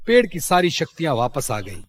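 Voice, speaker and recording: A man's voice: fairly high pitch, very animated, a little fast. A man narrating a scripted moral story for children as a storyteller.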